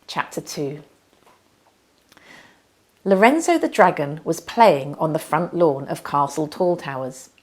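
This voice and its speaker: A woman narrates a children's storybook with highly animated intonation. She sits a little low in pitch and leans into dramatic, deliberate emphasis. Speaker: author reading aloud.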